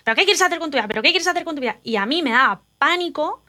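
A woman from Spain, telling personal stories in an animated, confiding casual chat. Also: high voice, very fast.

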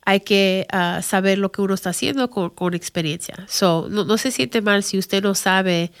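A woman's voice: mid-range voice, moderate pace. American woman, native English speaker, explaining informally. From Chicago, United States. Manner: conversational, mixes in English words and phrases. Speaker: real estate agent. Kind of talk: informal explainer talk to viewers.

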